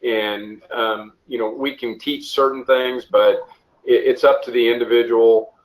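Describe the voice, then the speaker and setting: A man giving an interview answer as a coordinator on a conference call, speaking haltingly with frequent restarts. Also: mid-range voice, steady tone, low-quality recording. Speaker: officiating coordinator, interview guest.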